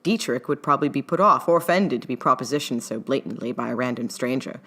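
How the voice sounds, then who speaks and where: Low-pitched woman narrating a story. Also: lively intonation, fast talker, sharp tone. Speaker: author reading own fan fiction.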